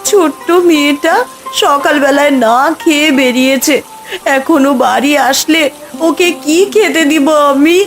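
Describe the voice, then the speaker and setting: A woman acts a despairing fisherman's wife in a village cartoon. Her voice is high and anxious, lamenting their poverty. Music and rain are clearly heard underneath. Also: measured pace. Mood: distressed.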